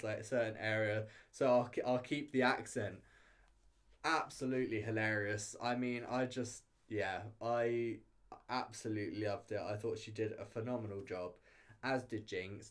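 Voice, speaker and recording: Casual, rambling man reviewing a show. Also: medium pitch. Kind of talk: casual video review, chatty commentary.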